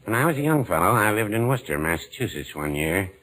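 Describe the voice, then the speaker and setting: A man's voice: fairly low pitch, sing-song, fast, a bit bright. An actor playing a mild, evasive older man who rambles hesitantly, in 1940s radio comedy style. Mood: puzzled, rambling. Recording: slightly noisy old 1940s radio recording.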